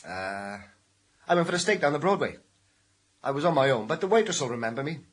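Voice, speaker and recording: An actor in a radio crime drama playing a defiant, cagey, middle-aged ex-convict, slangy and defensive. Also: male voice, medium pitch, big pitch swings, brisk. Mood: cagey, matter-of-fact. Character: defiant, cagey male ex-convict, street-hardened armed robber.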